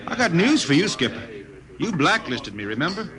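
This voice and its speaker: A drawling, cocky old-radio space drifter. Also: male voice, on the high side, very animated, normal speed, crowd noise. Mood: sly, defiant. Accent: American. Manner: drawling rustic speech, folksy and sly. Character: cocky hard-drinking folksy spaceship jet man and singer. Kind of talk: 1950s American radio drama acting. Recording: old radio broadcast with music underneath.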